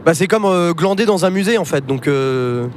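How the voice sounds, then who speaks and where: A young man talking enthusiastically, full of hesitations as he reels off lists. His voice sits a little high. A faint car hum runs underneath. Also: lively intonation, normal speed. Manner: enthusiastic, list-making, hesitant. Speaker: podcast co-host. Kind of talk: casual chat. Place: inside a moving car.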